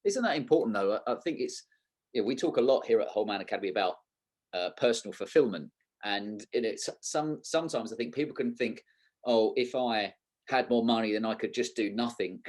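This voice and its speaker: A man's voice: mid-range voice, fairly quick, a little mellow. A man hosting a conversational interview podcast, thinking aloud in a reflective, tentative way. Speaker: podcast host.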